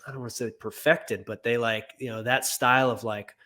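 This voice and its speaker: A man with a high tenor voice, a label owner and singer, talking in a casual interview, rambling with lots of "like" and restarts. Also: fairly even intonation, brisk, pushed and bright. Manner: rambling, full of "like" and restarts, laughs easily.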